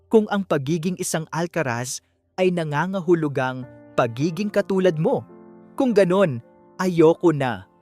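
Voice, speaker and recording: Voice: medium pitch, lively intonation, unhurried, warm tone, faint music behind, close to an everyday voice. A narrator voicing a wounded, defiant grown son of a rich family, intense and grave, in an audiobook drama. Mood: calm, resolute.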